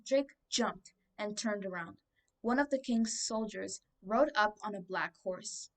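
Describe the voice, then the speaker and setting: A woman's voice: medium pitch, a little slow, recorded from a distance. A twelve-year-old girl reading a children's storybook aloud as scripted narration. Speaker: book reader.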